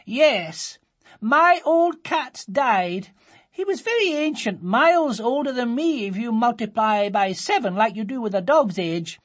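A woman's voice: high voice, pitched above the performer's own voice. A woman doing a chirpy old aunt.

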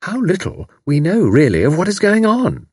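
A man's voice: high voice, very animated, moderate pace, darkish tone, slightly rough, no room sound, clean studio sound, pitched above the performer's own voice, heavily acted. A man voicing a gossipy, self-important older landlady who speaks sagely. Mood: knowing. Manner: sage, knowing.

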